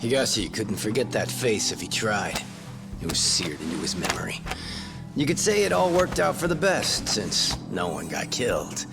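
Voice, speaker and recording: A man's voice: lowish voice, sing-song, vocal fry, over loud music. An actor playing a wry, worldly man who tells underworld tales sardonically in an English video game dub. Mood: sardonic. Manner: sardonic, unhurried storytelling.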